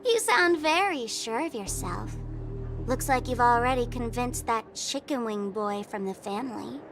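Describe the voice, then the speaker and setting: High-voiced, slow, mocking trickster girl. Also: lively intonation. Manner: mocking, theatrical teasing. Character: sly, taunting, playful young woman trickster. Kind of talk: video game character acting. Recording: music playing behind the voice.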